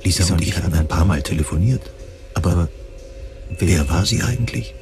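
Low, gravelly man narrating. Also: moderate pace, over music. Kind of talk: first-person scripted audio drama narration, science fiction.

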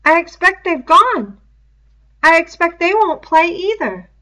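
A woman's voice: very high voice, very animated, unhurried, pushed and bright, voice pushed up, full character voice. A woman voicing an anxious, eager schoolboy in a storybook reading, with ungrammatical, pleading speech, on a dull lo-fi recording. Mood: uneasy. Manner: ungrammatical, pleading tag questions.

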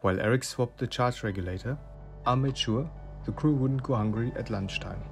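A man's voice: mid-range voice, normal speed, faint music behind. A man, the skipper of a sailing boat, joking dryly in casual chat. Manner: dry, joking.

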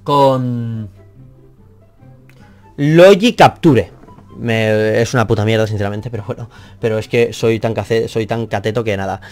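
A man's voice: on the low side, a little slow, pauses often, darkish tone. A young man who grew up speaking Catalan talks into a headset at his office desk, wandering off track as he reacts to comments. He runs himself down and swears offhandedly. Faint music plays underneath. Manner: rambling, self-deprecating, swears casually. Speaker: math youtuber. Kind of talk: reading and answering comments.

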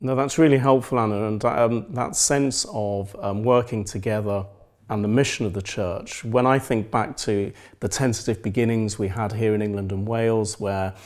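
A man in his forties, a priest hosting an indoor interview, who reflects gently and pauses often on ums. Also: lowish voice, steady tone. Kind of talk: conversational interview. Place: indoor room with plain walls.

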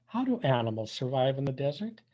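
Husky older man, very animated storybook reader. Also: mid-range voice, fairly quick. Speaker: volunteer storybook reader for young children. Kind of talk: picture-book read-aloud for preschoolers. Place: indoors, on a video call. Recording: dull, muffled webcam audio.

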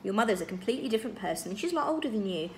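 A woman voicing a frank, caring teenage boy in a storybook, direct and gently probing. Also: mid-range voice, very animated, racing, a little hiss or hum, close to an everyday voice. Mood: gentle, coaxing.

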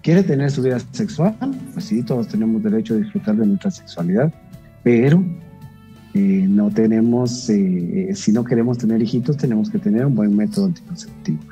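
A middle-aged man with a Guatemalan Spanish accent, a doctor calmly answering call-in questions with frank advice and rhetorical questions. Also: lowish voice, brisk, dull audio, soft background music. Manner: calm, explanatory, asks rhetorical questions. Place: home room on a video call.

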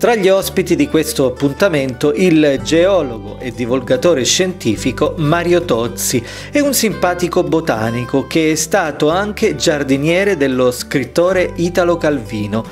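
A man's voice: on the high side, expressive, moderate pace. A man reading a scripted voice-over report as narrator. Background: music.

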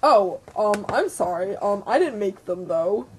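A woman's voice: mid-range voice, animated, measured pace, a little mellow, slight background noise, lightly acted, rough recording. Woman doing a blunt, bossy doll dad. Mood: offhand. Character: Ken, curt, bossy male doll father playing favorites.

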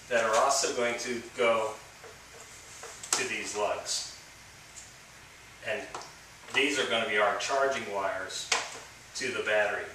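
A man's voice: on the low side, background noise. A middle-aged man giving a plain, step-by-step how-to demonstration of wiring a solar setup, talking while he works. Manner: plain step-by-step explaining, relaxed. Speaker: DIY instructor. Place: outdoors by a house, then indoor workshop. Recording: slightly distant camera audio with faint ambience.

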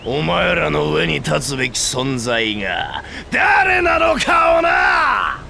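An actor playing a boastful, brutish two-headed reptilian warlord in a game, arrogant and snarling. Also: male voice, on the high side, wildly swooping pitch, slow pace, creaky, pushed and bright, shouting, slight background noise, full character voice. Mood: menacing boast.

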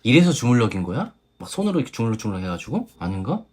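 A young woman co-hosting a casual dating-show review, relaxed and musing, often with a light laugh. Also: a bass voice, rapid-fire, slightly rough.

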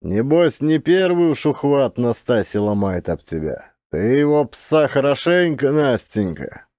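Guy doing rough bold peasant man in an audiobook, goading rustic banter, very animated pitch. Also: unhurried, dry recording, clean studio sound. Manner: goading, folksy rustic speech. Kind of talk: Russian audiobook character acting.

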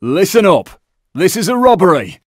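An actor playing a brash, foul-mouthed robber in a heist video game, with an English accent and a gruff, urgent delivery. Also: male voice, high voice, big pitch swings, normal speed, no echo, clean studio sound, heavily acted. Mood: tense, commanding. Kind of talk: shouted video game combat callouts.

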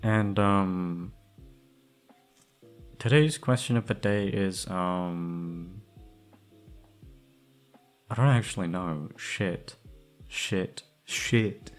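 A man's voice: low voice, extremely slow, long pauses. A man chatting casually and rambling to his listeners in an informal, sweary, self-deprecating way. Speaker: ASMR roleplay creator. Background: background music.

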